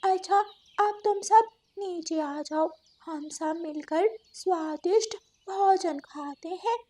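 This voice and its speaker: A woman's voice: extremely high pitch, takes it slowly, warm tone, pitched above the performer's own voice. A woman voicing a sly, vengeful female fox in a children's cartoon, coaxing and theatrical. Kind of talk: Hindi children's cartoon story acting.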